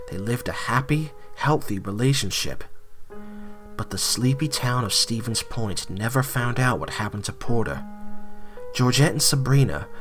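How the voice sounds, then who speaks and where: A man narrating a scripted, dramatic story for an audio drama. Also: fairly low pitch.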